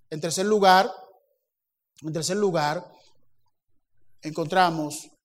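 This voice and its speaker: Male preacher, high pitch, animated sermon delivery with acted-out dialogue. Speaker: pastor.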